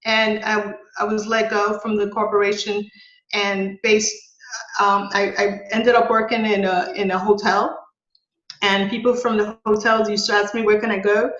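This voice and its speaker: A woman from Ecuador, raised in the Bronx, talking haltingly about her tour business in an oral history interview. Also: mid-range voice, flat delivery, fairly bright tone. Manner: hesitant, restarts often. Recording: roomy, slightly dull audio.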